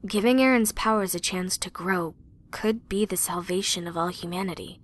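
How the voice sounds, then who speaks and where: A woman playing an earnest, brooding young space cadet with a husky, breathy voice in an anime-style drama. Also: lowish voice, normal speed, slight background noise, natural voice. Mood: sombre, earnest. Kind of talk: sci-fi anime parody audio drama acting.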